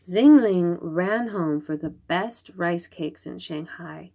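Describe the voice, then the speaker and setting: A woman reading a children's story aloud, expressive and dramatic, drawing out her words. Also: on the low side, slow. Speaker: storybook reader.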